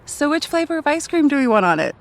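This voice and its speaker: An actress playing a playful, teasing young woman in a romantic comedy audio drama, giggly and excitable in a conversational way. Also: sits high, fast. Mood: teasing, giddy.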